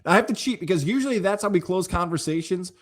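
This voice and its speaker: A middle-aged man with an American accent hosts a conversational interview. His voice is on the higher side for a man, and his delivery is chatty and effusive, in the manner of a sports broadcaster. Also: animated, fast. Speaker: podcast host, sports broadcaster.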